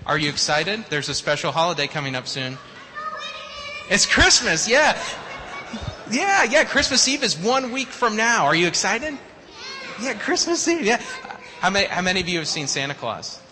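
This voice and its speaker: Bright-voiced pastor in a reverberant church. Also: male voice, sits high, sing-song, moderate pace. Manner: upbeat and playful with children, often restarting phrases. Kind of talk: church service leading and children's sermon. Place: church sanctuary. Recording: church sanctuary mic with long hall reverb and faint background noise.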